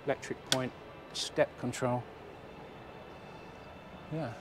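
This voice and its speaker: A man's voice: mid-range voice, fairly even intonation, slow. Chatty man touring a van. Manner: chatty, thinking aloud, mild remarks. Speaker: motorhome vlogger. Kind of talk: casual walkaround commentary. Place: inside a motorhome at an indoor trade show. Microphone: handheld camera mic. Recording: noisy exhibition hall.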